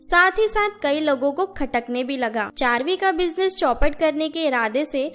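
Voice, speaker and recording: Woman, narrator, high pitch, scripted children's storytelling. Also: animated, moderate pace, a bit bright, soft background music.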